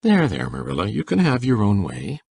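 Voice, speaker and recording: Casting: male actor as gentle old country bachelor, very low and husky, folksy and hesitant. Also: wildly swooping pitch, normal speed, no room sound, clean studio sound, full character voice. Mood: gentle, pleased. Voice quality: gravelly, husky. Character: shy, gentle, kindly old bachelor farmer. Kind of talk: audiobook dramatic reading.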